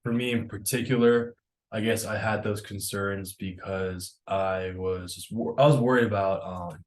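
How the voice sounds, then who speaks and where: A man's voice: on the low side, fairly even intonation, unhurried. A man in his twenties with an American accent, casually answering interview questions as a college student. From Jacksonville, United States. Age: 20s.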